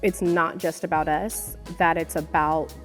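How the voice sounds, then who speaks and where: A woman's voice: deep voice, steady tone, unhurried, soft background music. A woman speaking earnestly in an interview.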